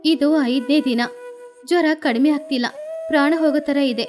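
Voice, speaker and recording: A woman's voice: sits high, steady tone, normal speed, darkish tone, soft background music, close to an everyday voice. A woman voicing a sick, exhausted village wife in a moral story, weary and pleading. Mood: weak, pleading.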